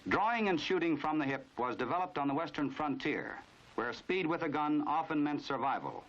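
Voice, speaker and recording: A dull, dated 1950s film soundtrack carries an American man's narration. His voice sits a little high for a man, and he delivers formal instruction with authority, in the scripted style of a mid-century training film. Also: lively intonation, normal speed, a bit bright.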